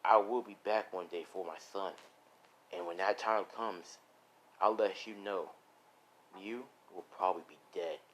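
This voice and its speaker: A man voicing a vengeful villain husband and gang boss in slow, quiet, sinister taunts, in an amateur story. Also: low voice, fairly even intonation, voice pushed down, rough recording. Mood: quiet menace.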